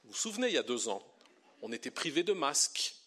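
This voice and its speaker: A bright, clear male voice of a middle-aged pastor from Belgium delivering a sermon. He preaches with lively emphasis, slowing down and leaning on key phrases. His pitch sits in a steady middle range. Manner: lively preaching, slows and stresses key words. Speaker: pastor and theology professor.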